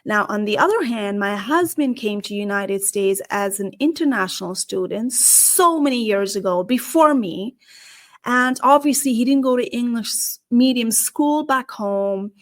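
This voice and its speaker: A woman's voice: on the high side, measured pace. A middle-aged woman from Nepal with a Nepali accent, talking earnestly to camera as a career show host and posing questions to her listeners. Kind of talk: solo talk to camera.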